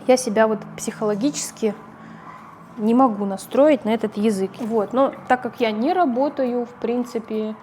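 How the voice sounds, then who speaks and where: A woman's voice: a little high, normal speed, dark tone, slight background noise. A middle-aged woman from a Russian-speaking background, a mother and family vlogger, talking casually to the camera in a chatty, conversational way and sometimes correcting herself mid-sentence.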